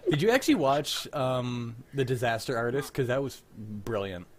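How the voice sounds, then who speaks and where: A man hosting a casual group chat over a video call, speaking in an excitable, opinionated, freely swearing way. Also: medium pitch, normal speed, background noise. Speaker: host of a radio drama livestream.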